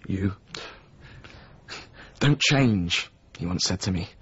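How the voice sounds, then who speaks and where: An actor playing a bitter, wounded man in a radio drama, with blunt speech and a husky voice. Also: low-pitched, animated, slow pace, fairly bright tone, lo-fi sound, full character voice. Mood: bitter, hurt. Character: bitter, blunt former companion of a painter. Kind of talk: naturalistic radio drama acting.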